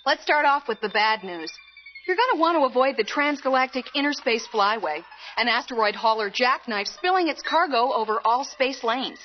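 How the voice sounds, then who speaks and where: An actress playing a chipper space traffic reporter giving a mock TV traffic report. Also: female voice, fairly high pitch, expressive, normal speed, lightly acted. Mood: brisk, chipper. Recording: slightly dull, music behind.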